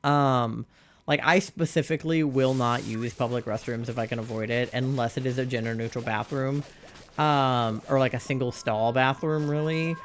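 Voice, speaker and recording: A man's voice: medium pitch, fairly even intonation, normal speed, a little hiss or hum. A young adult man streaming live, chatting candidly in a rambling way, full of "like" fillers and exasperated rhetorical questions. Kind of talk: casual chat while live-streaming a game.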